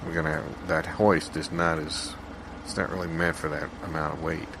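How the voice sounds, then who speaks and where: A man playing a rambling, good-natured studio host in improvised comedy audio, with a halting, folksy, apologetic manner. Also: very deep voice, level tone, very noisy. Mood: admiring, apologetic. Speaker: interview host.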